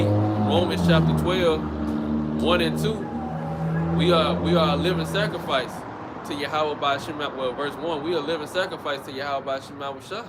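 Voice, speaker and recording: Rambling street preacher man, traffic behind. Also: on the high side. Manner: loose, conversational, restarts phrases often. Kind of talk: outdoor street teaching. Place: outdoors by a street. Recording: outdoor traffic noise. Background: passing vehicles.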